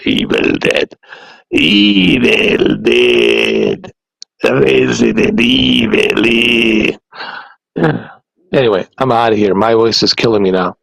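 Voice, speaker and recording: A man's voice: fairly low pitch, sing-song, slow, vocal fry, fairly bright tone, dry recording, low-quality recording. A man hosting a casual stream and podcast, laughing often and swearing freely. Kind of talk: casual chat.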